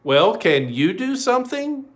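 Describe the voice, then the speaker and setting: A man's voice: high-pitched, sing-song, unhurried, pitched above the performer's own voice. A man voicing eager, pleading small-town children in a storybook.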